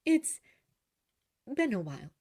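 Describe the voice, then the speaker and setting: A woman's voice: a little high, very animated, slow pace, warm tone, breathy edge, pitched above the performer's own voice, full character voice. A woman voicing a reserved, guarded young woman in a storybook reading, speaking in short, terse lines.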